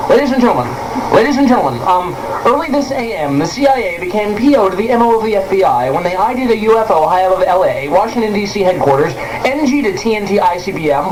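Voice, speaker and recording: A mock-grand radio serial announcer played by a man proclaims in a high, very animated voice. The delivery is breathless and hammy, parodying old-time radio, on a noisy cassette recording.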